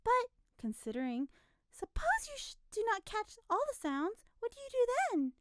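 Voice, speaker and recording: A woman reading aloud puts on a meddling, haughty lady from an old storybook. The voice jumps far above her normal pitch and gets loud and piercing. Every question comes out sharp and incredulous, recorded close and dry. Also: sing-song, normal speed, no echo. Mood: prying. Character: prying, haughty woman with the big nose.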